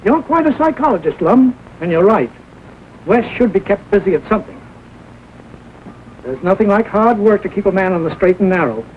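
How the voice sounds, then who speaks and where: High-voiced kindly country doctor. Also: male voice, lively intonation. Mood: kindly, thoughtful. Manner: measured, well-spoken. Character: kindly, sensible small-town doctor. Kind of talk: 1940s film drama acting. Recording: dull, noisy old film soundtrack.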